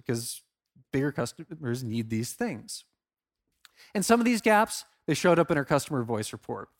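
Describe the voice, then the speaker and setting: A man presenting a conference talk in an informal, conversational way, leaning on key phrases for emphasis. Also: mid-range voice. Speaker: conference speaker, product marketer.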